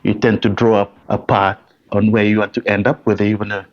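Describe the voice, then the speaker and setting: A man's voice: lowish voice, little pitch movement, fairly quick. A man from Fiji, a humanitarian guest, talking in a remote interview over a video call, hesitant and often restarting. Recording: dull lo-fi call audio.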